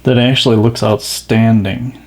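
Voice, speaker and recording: A man's voice: mid-range voice, level tone, a little slow. A man giving casual, informal hands-on commentary, thinking aloud as he works. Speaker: watch restoration video host.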